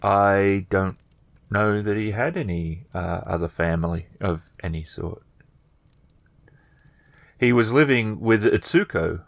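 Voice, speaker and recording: Man playing a slow, deferential village man. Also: fairly low pitch, dry recording. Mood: somber, reflective. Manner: measured, formal, halting. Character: plainspoken, deferential village man in feudal fantasy Japan.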